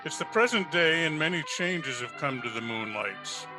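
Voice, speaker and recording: An actor as the solemn narrator of an old-time radio drama, measured and dramatic. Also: male voice, a little high, expressive, over music, natural voice.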